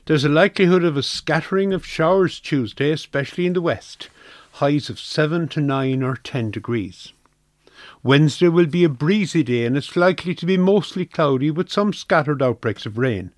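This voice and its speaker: A man's voice: a little high, normal speed, no room sound. Irish man reading the weather, dry sound. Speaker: community radio weather reader. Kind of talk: scripted weather forecast reading.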